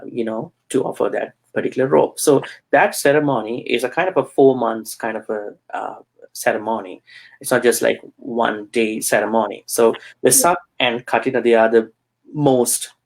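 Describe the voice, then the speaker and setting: A man from Sri Lanka, a Buddhist monk, answering interview questions with many pauses and fillers. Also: mid-range voice, vocal fry.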